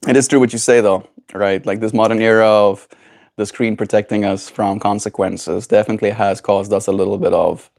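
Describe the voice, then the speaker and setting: A man's voice: on the low side, fairly even intonation, a little fast, gravelly, a little mellow. A man from South Africa with a South African accent, hosting a casual livestream chat and talking in a reflective, meandering way that keeps checking in with "right?". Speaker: livestream host.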